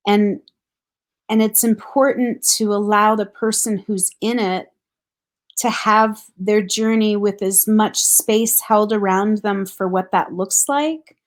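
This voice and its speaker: Middle-aged woman counselor, middle pitch, earnest and reflective, light chuckles. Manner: thoughtful, earnest, laughs softly. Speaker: grief counselor guest. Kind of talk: reflective casual conversation.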